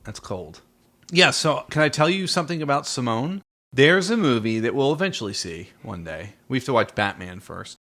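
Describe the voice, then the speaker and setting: Playful man with very animated pitch. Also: moderate pace. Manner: teasing, playful. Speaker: podcast host. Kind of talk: casual movie chat with a child.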